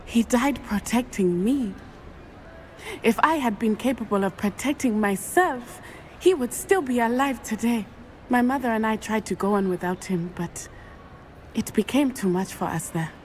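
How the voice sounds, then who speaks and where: Earnest young witch student, woman voicing her, quiet grief, game cutscene. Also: fairly high pitch, moderate pace, slight background noise, close to an everyday voice. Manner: earnest, composed storytelling. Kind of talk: video game cutscene acting.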